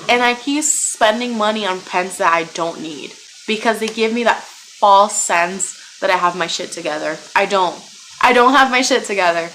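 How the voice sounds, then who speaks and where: A woman's voice: mid-range voice, bright, forceful tone, slight background noise, low-quality recording. A woman in her twenties from Puerto Rico, chatting casually and self-mockingly about herself with plenty of ums and likes. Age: 20s. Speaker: vlogger. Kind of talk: casual get-to-know-me chat. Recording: music playing clearly behind the voice.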